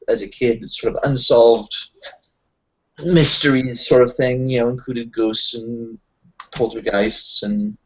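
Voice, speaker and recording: A man's voice: medium pitch, highs cut off. A man chatting casually and self-deprecatingly as a player in an improvised roleplaying game. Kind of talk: casual improvised in-character chat.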